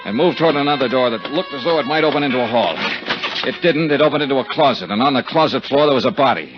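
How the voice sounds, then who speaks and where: An actor playing a wry, sardonic American investigator with a deadpan, clipped delivery in a 1950s radio detective drama. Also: male voice, mid-range voice, fast talker, loud music behind the voice, close to an everyday voice. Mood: dry, wry. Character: wry, hard-boiled male American insurance investigator. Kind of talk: 1950s radio detective drama acting, with first-person narration. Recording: dull vintage 1950s radio recording.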